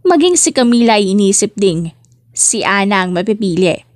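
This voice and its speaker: Lady reading an audiobook story, mid pitch voice, really dry recording. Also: very animated, normal speed, no room sound. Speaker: audiobook narrator. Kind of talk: scripted story narration.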